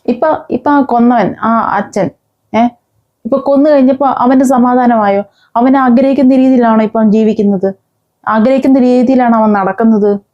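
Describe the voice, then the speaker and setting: Woman, indignant scolding, fairly high voice, muffled lo-fi recording. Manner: indignant, scolding, with many pauses and restarts. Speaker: vlogger. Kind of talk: angry commentary on a news case.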